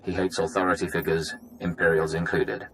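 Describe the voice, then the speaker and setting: Brisk military intel operative briefing for a game, deep hard-edged voice. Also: male voice, flat delivery, fairly bright tone, a little hiss or hum, low-quality recording. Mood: matter-of-fact. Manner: brisk, matter-of-fact. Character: businesslike male infiltration operative giving recorded briefing. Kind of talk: video game briefing narration.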